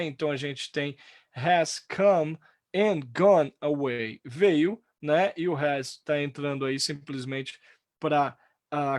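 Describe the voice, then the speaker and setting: A Brazilian man teaching an online English lesson, lively and full of fillers and restarts. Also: fairly high pitch, no echo, clean studio sound.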